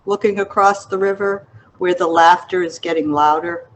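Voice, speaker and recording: A woman author reading her literary memoir aloud in a measured, reflective manner. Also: on the low side, steady tone, slight background noise. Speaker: author reading an excerpt of own book.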